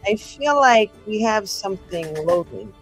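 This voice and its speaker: A woman's voice: medium pitch, big pitch swings, measured pace, soft background music, poor audio. Chatty woman improvising in a tabletop game. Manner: chatty, informal, rambling. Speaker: player in a tabletop roleplaying game. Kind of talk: casual improvised in-character message and game chat.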